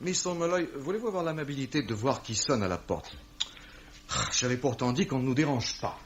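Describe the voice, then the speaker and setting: An actor playing a shrewd, authoritative police commissioner, speaking formally and courteously in a 1950s-style French radio mystery. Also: male voice, mid-range voice, lively intonation, a little hiss or hum, natural voice. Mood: genial, formal. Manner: formal, measured, theatrical. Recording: dull vintage radio recording.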